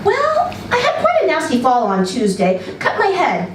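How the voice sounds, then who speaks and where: Woman playing a high-pitched, chirpy, happy man. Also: huge pitch swings, normal speed. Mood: cheerful, apologetic. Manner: chirpy, apologetic, eager to please. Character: cheerful, sheepish, ridiculously happy man patient. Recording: roomy, distant mic, faint noise.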